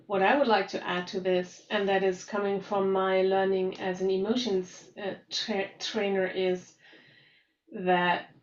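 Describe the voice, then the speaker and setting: Brief: woman, slow measured speech, roomy dull video-call sound. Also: mid-range voice. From Munich, Germany. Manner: measured, uses filler pauses. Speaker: science journalist, emotions trainer.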